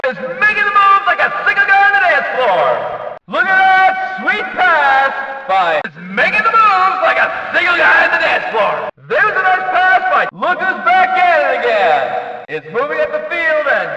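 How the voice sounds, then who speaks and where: A man playing a brash, jokey, excitable stock-car race announcer in a video game, with folksy, punchy calls. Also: very high-pitched, normal speed, noisy background. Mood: excited, wry. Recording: echoey hall PA, distant, slightly dull.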